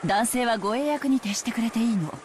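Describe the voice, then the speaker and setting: Actress as a composed, quick-witted resolute woman. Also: on the high side, darkish tone, a little hiss or hum, natural voice. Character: cool-headed, resolute, strategic married woman. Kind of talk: Japanese visual novel voice acting.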